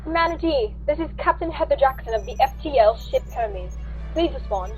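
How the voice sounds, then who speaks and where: Woman playing space captain hailing, formal, high voice, dull lo-fi sound, radio play. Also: expressive, a little fast, soft background music. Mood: official, concerned. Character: formal female starship captain hailing over the comms. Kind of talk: science fiction radio play acting.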